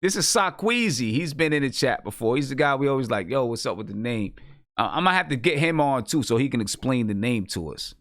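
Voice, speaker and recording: A man hosts a live music review in a casual, conversational way. His voice sits at a middle pitch. The sound is dry, with hardly any room around it. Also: animated, fairly quick, fairly bright tone, no echo. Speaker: host of a music review show.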